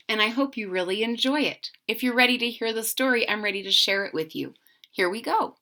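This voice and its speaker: A woman reading a children's picture book aloud in an expressive, sing-song manner, speaking directly to young listeners. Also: a little high.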